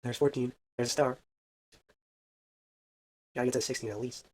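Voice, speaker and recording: A man's voice: mid-range voice, fairly even intonation, normal speed, a little mellow. A man casually commentating a baseball video game, counting hits aloud and adding self-deprecating asides. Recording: faint background music.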